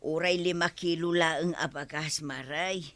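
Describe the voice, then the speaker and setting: An actress with an Ilocano accent playing a put-upon, quarrelsome village wife in an audio drama, given to exclaiming and complaining. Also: female voice, low voice, fairly even intonation, a little slow, a bit bright, dry recording. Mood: complaining, chatty.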